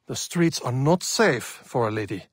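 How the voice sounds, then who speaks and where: An actor playing a doting older gentleman father in a video game, with a gentle, formal, measured manner. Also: medium pitch, animated, husky, no echo, studio quality. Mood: fond, concerned. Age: middle-aged or older. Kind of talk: video game cutscene acting.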